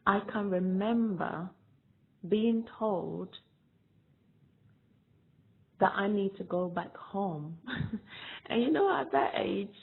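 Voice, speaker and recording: A woman from Ghana giving a reflective, measured personal testimony about her own past. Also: mid-range voice, lively intonation, vocal fry. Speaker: storyteller sharing personal experience. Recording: tinny phone-like line.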